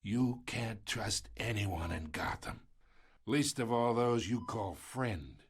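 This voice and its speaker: Old sick mob boss, slow n gravelly, sly taunting, game cutscene w music behind. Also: male voice, on the low side, lively intonation, slight background noise. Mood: weary, sly. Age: older. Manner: slow, mock-familiar, needling. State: weakened, in pain. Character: ailing old male crime lord, sly, taunting, menacing.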